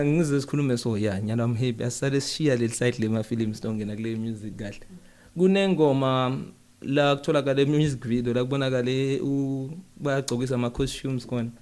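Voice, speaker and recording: A man with a South African accent and a medium-pitched voice hosts an interview. His delivery is casual and conversational, moving between languages within the same sentence. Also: fairly quick, darkish tone. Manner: informal, switches between languages mid-sentence. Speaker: interview host. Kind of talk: casual code-switching interview.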